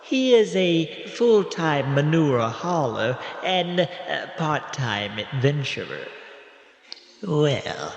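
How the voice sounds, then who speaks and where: An elderly morgue attendant spinning radio tales. He speaks very slowly and haltingly, with courteous hesitations and wide swings of pitch, in long echoing reverb. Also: exaggerated voice. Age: older. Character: odd, obliging old morgue attendant storyteller. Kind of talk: old-time radio horror-comedy narration. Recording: echoey hall with long reverb.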